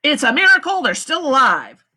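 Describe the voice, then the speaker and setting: A woman reading aloud, voicing a fierce, small female attorney who speaks loudly and sharply. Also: high-pitched, big pitch swings, normal speed, voice pushed up, heavily acted. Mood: forceful. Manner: forceful, sharp and loud.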